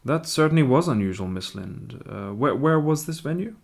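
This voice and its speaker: An actor playing a polite American newspaper correspondent of 1849 in a radio play, speaking in a measured, courteous way. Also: male voice, medium pitch, animated, vocal fry. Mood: polite, curious.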